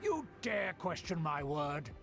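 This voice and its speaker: A man's voice: fairly high pitch, sing-song, takes it slowly, gravelly, fairly bright tone, soft background music, full character voice. A man voicing a haughty, indignant young aristocrat schemer in a video game, speaking in a pompous, formal, theatrical way.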